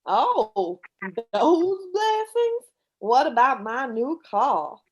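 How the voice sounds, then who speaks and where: A woman's voice: sits high, extremely slow, dull audio, exaggerated voice. A woman playing a petulant, materialistic housewife complaining in an amateur radio play. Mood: annoyed. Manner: complaining, animated.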